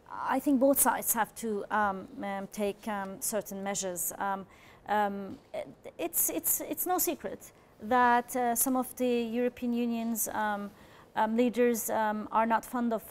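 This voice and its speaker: A woman's voice: medium pitch, a little slow, a little hiss or hum. A woman giving political opinion on a live news panel, speaking hesitantly with many fillers. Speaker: opinion editor, panel guest. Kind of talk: live studio panel analysis.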